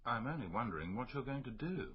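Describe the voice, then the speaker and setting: An actor playing an earnest, cautious consultant physician in a radio drama, husky-voiced, precise and a little hesitant. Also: male voice, medium pitch, very animated, fast. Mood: tentative. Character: earnest, cautious male hospital consultant physician, rather diffident. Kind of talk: BBC radio drama acting. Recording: dull, muffled radio recording.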